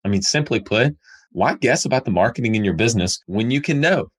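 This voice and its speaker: A man's voice: mid-range voice, lively intonation, fairly quick, slightly rough. A man hosting a leadership podcast, upbeat and grateful, sounding a little nasal from illness. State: nasal from a cold. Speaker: podcast host. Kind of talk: podcast interview and outro.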